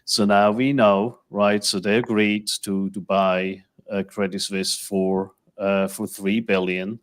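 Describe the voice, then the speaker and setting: Male finance commentator, low smooth voice, casual but halting delivery. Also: flat delivery. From Switzerland.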